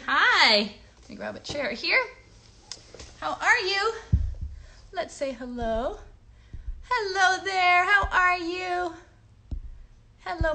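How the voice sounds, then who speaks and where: A woman's voice: very high-pitched, pushed and bright, a little hiss or hum. A woman hosting a children's livestream, chatting casually to young viewers with cheery, sing-song greetings. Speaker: host of children's livestream, mother.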